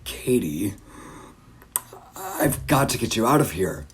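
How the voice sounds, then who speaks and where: A haunted middle-aged father played by a man in an audio play, with a low voice and slow delivery. He is obsessive and distraught, halting and circling back over his words. There is clear noise behind him. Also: big pitch swings. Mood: shocked, urgent. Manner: haunted, halting, repeating himself. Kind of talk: audio drama monologue and dialogue.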